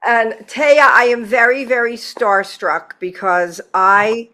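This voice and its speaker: Bright-voiced, enthusiastic woman podcast host. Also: on the high side, fairly even intonation, slow. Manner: gushing, enthusiastic. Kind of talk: casual interview chat. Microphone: video call.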